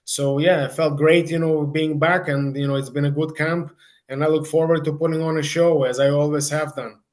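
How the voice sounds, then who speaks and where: Man chatting in a flat, even tone. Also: a little high, moderate pace. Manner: rambling, repeats "you know". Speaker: professional fighter guest. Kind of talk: casual, conversational interview answer.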